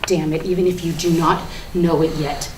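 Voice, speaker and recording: A woman's voice: deep voice, fairly even intonation, moderate pace, natural voice. A woman acting a bitter, manic, sardonic character in a theatrical dramatic monologue at a staged reading. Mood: defiant. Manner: theatrical, emphatic, repetitive, sardonic, swinging from hushed to ranting. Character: bitter, manic woman confessing her war on a Barbie doll. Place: indoor room, live staged reading. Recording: echoey room with background hiss.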